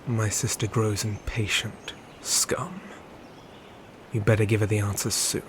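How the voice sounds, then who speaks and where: A man playing a haughty, sadistic medieval knight in a dark fantasy ASMR roleplay, with formal, sneering, hushed delivery. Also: lowish voice, flat delivery, background noise, close to an everyday voice. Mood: impatient, contemptuous. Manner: slow, sneering, formal speech, hushed at phrase ends.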